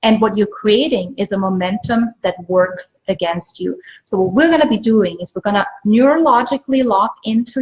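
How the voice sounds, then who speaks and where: A woman from Austria, a native German speaker, speaks as a business coach giving an emphatic, repetitive motivational pitch on a livestream. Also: a little mellow. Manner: emphatic, repeats phrases for stress. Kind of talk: motivational live sales pitch to viewers. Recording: dull lo-fi livestream audio.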